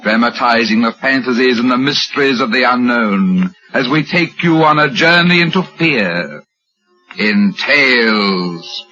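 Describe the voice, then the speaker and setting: Male announcer for vintage radio horror, medium pitch, lo-fi sound with music underneath. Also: expressive, a little slow, sharp tone. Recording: dull lo-fi old radio broadcast.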